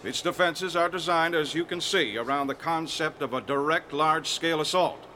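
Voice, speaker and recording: A man playing a commanding rebel general in a radio drama, briefing pilots in a formal, deliberate, emphatic way. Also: on the high side, bright, forceful tone, slight background noise. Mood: authoritative.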